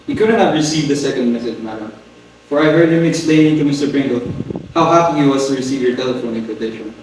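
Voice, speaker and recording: A man's voice: mid-range voice, fast talker, darkish tone, natural voice. A man playing a polite, dutiful household butler in an amateur dramatic reading of a comic stage play, speaking formally and deferentially. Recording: very echoey room, distant mic, faint noise.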